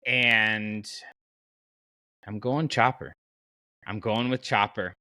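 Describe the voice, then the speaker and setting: Male podcast host, bright and punchy, very dry sound, casually arguing his case in everyday slang. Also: lowish voice, fairly even intonation, slow pace, no echo. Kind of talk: casual fan podcast discussion.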